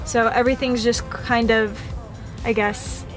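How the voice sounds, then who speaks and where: A woman's voice: a little high, flat delivery, measured pace, over music. A young woman chatting casually and informally to the camera as a vlog host, recorded inside a truck cab. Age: young adult.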